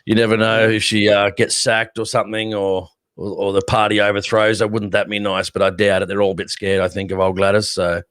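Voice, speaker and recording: A man's voice: on the low side, flat delivery, brisk, hardly any pauses, fairly bright tone, no room sound. An Australian man co-hosting a casual live stream. He talks bluntly and sarcastically and swears freely. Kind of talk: casual opinionated chat.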